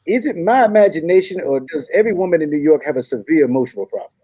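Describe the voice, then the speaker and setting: Man playing a high, husky, naive young man. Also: lively intonation, rapid-fire, warm tone. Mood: curious. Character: polite, naive young man seeking a wife. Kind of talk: comedy script table read.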